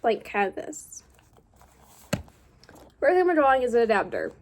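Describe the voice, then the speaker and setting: A woman's voice: on the high side, wildly swooping pitch, measured pace, a little hiss or hum. A young woman vlogging casually while she draws, chatty and rambling, with a slight cough and a voice she says she is losing. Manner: chatty, rambling, self-correcting. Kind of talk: casual drawing vlog chat.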